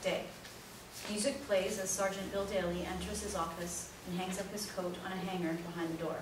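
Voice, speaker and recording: A woman narrates a stage play reading with a scripted delivery. Her voice is on the lower side. It rings heavily around a hall, distant, with clear noise behind it. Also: level tone, a little fast. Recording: very echoey hall, distant, noisy.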